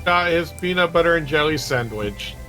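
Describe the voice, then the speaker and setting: A man with a fairly high voice reads little stories aloud and chats casually over a game. Faint music plays behind him. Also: animated, moderate pace. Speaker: guest co-player reading stories aloud. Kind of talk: storybook read-aloud and casual game chat.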